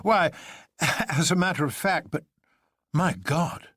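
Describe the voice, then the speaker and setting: A man voices an astonished gentleman detective in a dramatic story reading. The pitch jumps well up, with a husky edge and emphatic, exclamatory delivery. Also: slow, dry recording, exaggerated voice. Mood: astonished, agitated.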